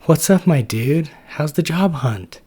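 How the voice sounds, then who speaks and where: A man's voice: fairly high pitch, lively intonation, takes it slowly, warm tone, breathy edge, pitched above the performer's own voice, heavily acted. A man voicing a cool, laid-back female neutrophil sailor with surfer slang. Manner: coolly upbeat, slangy.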